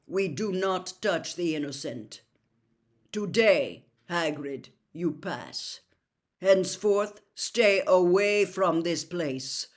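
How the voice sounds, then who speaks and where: A woman voicing a proud male centaur elder who speaks in a calm, formal, grave way. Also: fairly low pitch, slow. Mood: stern.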